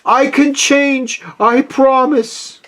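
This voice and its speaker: A man's voice: high voice, sing-song, takes it slowly, a bit bright, full character voice. An old miser out of a storybook, as a man reading aloud puts him on. He is full of feeling and exclaims his words in a raised, very mobile voice. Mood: desperate pleading. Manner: emotional, exclamatory. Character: old male miser, shaken and remorseful, turning joyful.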